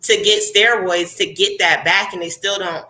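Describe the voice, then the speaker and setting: A young woman hosts a casual live chat, her voice bright and clear. She sounds congested and talks in a chatty, animated way, laughing easily. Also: mid-range voice, normal speed, poor audio. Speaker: host.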